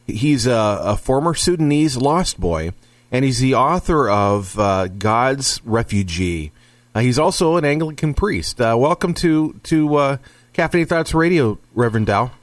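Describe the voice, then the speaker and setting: A man's voice: mid-range voice, expressive, a little slow, dry recording. A man hosting a radio talk show from a studio, earnest and rambling with restarts.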